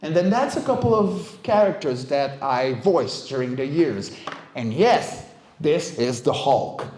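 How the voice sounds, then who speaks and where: A man in his forties speaks casually to a live audience in a roomy, echoing space. His voice sits a little high for a man, and he keeps the talk informal, poking fun at himself as he goes. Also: lively intonation, unhurried, darkish tone. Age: 40s. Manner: joking, self-deprecating. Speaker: guest speaker, monster voice actor and vocal coach. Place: indoor venue at an evening meetup.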